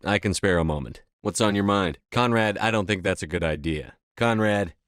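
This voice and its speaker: A low male voice, close and dry, a resolute military commander in a sci-fi game. He speaks in terse, assertive lines with a blunt, controlled manner. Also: normal speed, fairly bright tone, clear, resonant tone, no room sound. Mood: calm, businesslike. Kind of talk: scripted video game dialogue.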